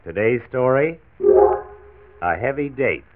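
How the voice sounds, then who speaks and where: A man's voice: mid-range voice, expressive, slow, loud music behind the voice. An American man playing a genial, folksy county health doctor in a 1940s radio drama. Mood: genial, narrating. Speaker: narrator and lead actor. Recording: scratchy, tinny 1940s radio transcription disc.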